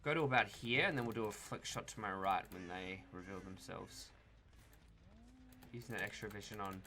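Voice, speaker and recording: A man's voice: on the low side, moderate pace. A young Australian man giving casual commentary over his own gameplay, talking to himself and the players and swearing now and then. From Tasmania, Australia. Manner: relaxed, talks to himself and the players, mild swearing. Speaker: gaming YouTuber.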